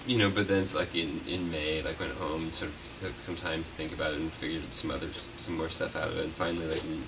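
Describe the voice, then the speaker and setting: A man being interviewed as a puppeteer, chatting casually in a halting, rambling way that often trails off. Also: very deep voice, little pitch movement. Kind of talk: casual unscripted interview chat. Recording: noisy, distant mic.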